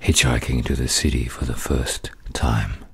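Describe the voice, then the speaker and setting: A man narrating a radio play as a middle-aged man looking back, slow and measured, with music behind him. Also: very deep voice, steady tone, gravelly, very noisy, exaggerated voice. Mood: reflective. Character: grown man looking back, brooding and reflective. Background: music score.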